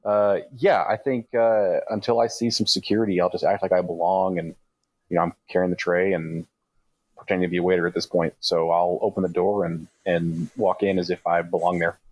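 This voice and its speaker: A man's voice: low voice, flat delivery, a little fast, darkish tone, slightly rough, no echo. A man playing a tabletop game, casually talking through what his character will do. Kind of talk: casual improvised role-play chat.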